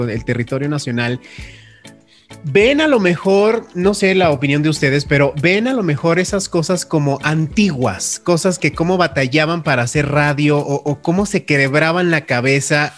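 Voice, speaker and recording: A man's voice: fairly high pitch, expressive, moderate pace, soft background music. A man, a radio host speaking reflectively and earnestly in a casual panel chat, with many restarts.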